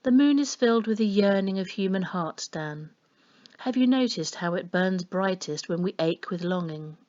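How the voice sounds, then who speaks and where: A woman voicing a gentle, solemn fortune-teller in a storybook, murmuring slowly and knowingly. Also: mid-range voice, animated, dull audio, close to an everyday voice. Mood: soothing, wistful. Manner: gentle, murmuring, slow and portentous. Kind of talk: children's storybook reading.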